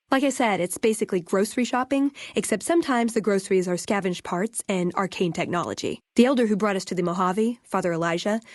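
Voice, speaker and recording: A young woman played by an actress as a game companion, with a medium-pitched voice in a very dry, close recording. She is wry and idealistic, talking in a natural, conversational way with a sardonic edge. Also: darkish tone, no room sound, lightly acted. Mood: candid, wry. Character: wry, idealistic young woman, scavenger from a post-war order. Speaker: video game companion character. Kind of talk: naturalistic game dialogue acting.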